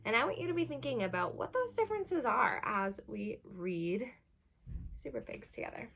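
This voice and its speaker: A woman teacher reading a storybook aloud to young children, pausing to explain simply and ask them questions. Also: a little high, sing-song, vocal fry, a bit bright, a little hiss or hum. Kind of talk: children's storybook read-aloud with asides to listeners.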